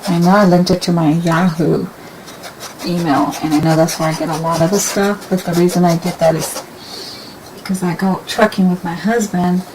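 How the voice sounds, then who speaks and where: A woman talking casually and thinking aloud as she paints, with a soft, rambling manner. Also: lowish voice, slight background noise, rough recording. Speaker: painting instructor. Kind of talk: casual painting demo talk.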